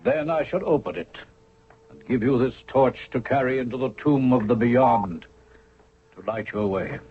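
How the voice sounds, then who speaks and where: Solemn Egyptian high priest, radio-thin. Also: male voice, husky. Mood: solemn, ceremonial. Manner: formal, measured, incantatory. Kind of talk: 1970s radio drama acting. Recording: narrow phone-like vintage radio recording.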